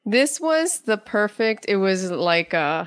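A woman's voice: medium pitch, big pitch swings, takes it slowly, no room sound, clean studio sound. A woman speaking as an interview guest, telling stories conversationally and acting out what people said. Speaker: guest, playwright.

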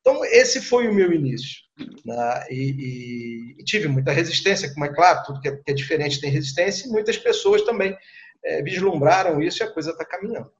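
Middle-aged male guest expert, Rio accent, slightly raised pitch, conversational explainer with repeated words. Dull lo-fi recording. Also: animated, fairly quick. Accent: Rio de Janeiro Brazilian Portuguese. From Rio de Janeiro, Brazil. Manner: conversational, repeats words and adds tag questions. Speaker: guest surgeon. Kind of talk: explanatory recounting in an interview.

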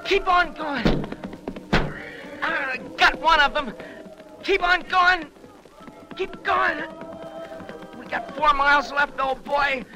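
A gutsy teen pony express kid, really high voice, old 30s radio show w music. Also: male voice, slow, pauses often, bright, forceful tone, exaggerated voice, rough recording. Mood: urgent, shouting. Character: plucky, determined teenage boy Pony Express rider. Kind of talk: 1930s radio drama acting. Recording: old 1930s radio recording, music behind.

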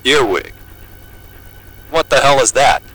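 An uneasy pilot in an audio drama, plain-spoken and a little crude. His mid-pitched voice is bright and forward, with hesitant, everyday phrasing and a well-meaning air. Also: expressive, slow pace, no room sound. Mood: hesitant, puzzled. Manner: hesitant, colloquial. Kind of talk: naturalistic audio drama acting.

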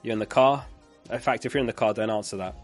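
A man's voice: lowish voice, fast talker, soft background music. A man who is losing his voice, hosting a live fantasy football stream in a chatty way, reading out viewer comments and laughing along.

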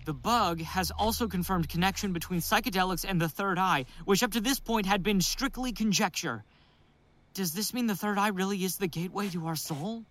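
A man's voice: sits high, moderate pace, a bit bright. A man playing a drug researcher who is losing control of his mind, stammering and pleading in an audio drama. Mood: absorbed, dictating. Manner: stammering, panicky, breathless pleading. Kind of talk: audio fiction acting.

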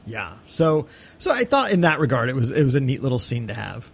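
A man's voice: mid-range voice, big pitch swings, brisk, gravelly, fairly bright tone, slightly rough, soft background music. A man co-hosting a podcast, discussing film casually in an enthusiastic, emphatic way. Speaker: podcast co-host.

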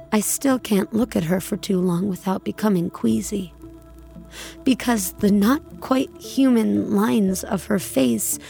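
A woman playing an uneasy space colonist, narrating her journey in a measured, deliberate way for an audio drama. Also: mid-range voice, vocal fry, warm tone. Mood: uneasy, bitter. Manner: measured, deliberate, brooding delivery. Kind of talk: scripted audio drama narration, first-person.

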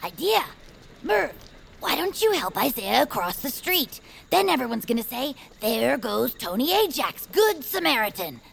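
Brief: a plotting superfan commander, high lively voice with heavy gravel. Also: female voice, measured pace, slight background noise, heavily acted. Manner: eager, persuasive, scheming. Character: eager young female self-styled space commander, scheming superfan. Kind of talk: audio drama acting.

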